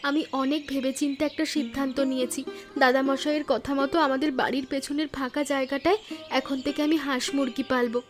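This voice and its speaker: A woman voicing an earnest, determined young daughter-in-law in an animated village family drama. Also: sits high, fast, faint music behind, natural voice. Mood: earnest, resolute.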